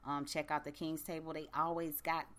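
Deep-voiced blunt woman radio host. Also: flat delivery, normal speed, a bit bright, a little hiss or hum. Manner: blunt, profane, laid-back slangy talk. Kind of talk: casual radio chat.

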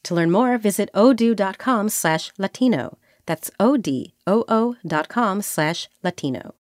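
Lively woman reading an ad. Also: mid-range voice, unhurried, no room sound, studio quality. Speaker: sponsor announcer. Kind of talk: scripted advertisement read. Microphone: close studio mic.

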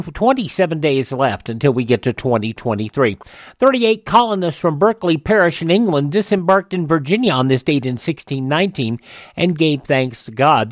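An American man hosts a radio program in a slightly high voice. He reads items in a measured, newsreader-like way, partly scripted. The sound is dry and close. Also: dry recording. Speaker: radio show host. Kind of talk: semi-scripted presenting of old radio and history facts.

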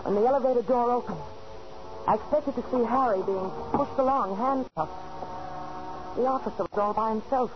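An actress playing a frightened, scheming wife who narrates anxiously in the dramatic style of a 1940s radio thriller. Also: female voice, on the high side, normal speed, close to an everyday voice. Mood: tense, brooding. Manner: anxious, dramatic first-person delivery. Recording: muffled old 1940s radio recording, organ music.

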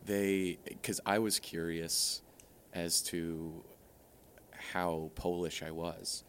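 A man being interviewed in a comedic mock interview, talking in a halting, deadpan way full of hesitations. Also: deep voice, slight background noise. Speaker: interview guest.

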